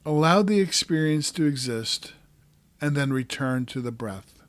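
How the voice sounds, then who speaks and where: A man narrating a guided meditation as a coach, giving calm, gentle instructions in short, measured phrases. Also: fairly high pitch, dry recording.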